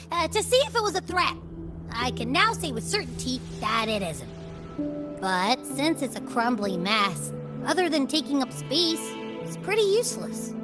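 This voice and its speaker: Game role: small perky creature sidekick, high-pitched and very animated, chatty, music behind. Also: female voice, unhurried. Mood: chatty, grumbling. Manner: chatty, casual, expressive. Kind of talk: video game voice acting.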